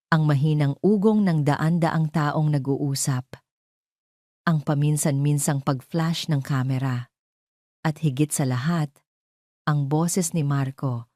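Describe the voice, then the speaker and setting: A woman with a Filipino accent narrating a scripted romance drama in deliberate, dramatic short phrases. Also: low-pitched, warm tone, dry recording, studio quality. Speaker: storyteller.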